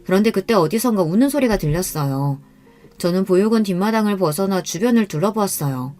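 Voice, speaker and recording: Calm woman narrating a story. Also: mid-range voice, fairly quick. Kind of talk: scripted first-person story narration.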